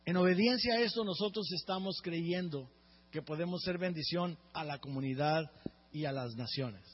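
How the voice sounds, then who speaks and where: A man preaching a sermon, his voice sitting high and a little husky. He keeps turning to the congregation for agreement as he talks. The sound is dull and lo-fi, with long reverb from a big hall. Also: normal speed. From Mexico. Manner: earnest preaching, often asks the congregation "right?". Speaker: pastor.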